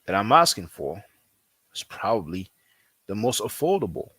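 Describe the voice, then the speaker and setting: A man who is not a native English speaker, talking casually as a language teacher, repeating himself often and filling pauses with "you know.". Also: fairly low pitch, very animated, fairly bright tone, husky, no room sound. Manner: repetitive, conversational, frequent fillers. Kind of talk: casual promotional talk.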